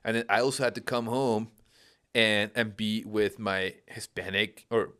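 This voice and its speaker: A man's voice: lowish voice, flat delivery, measured pace, a bit bright. A man in his thirties, originally from Peru, explaining patiently in a casual conversation with frequent rhetorical questions.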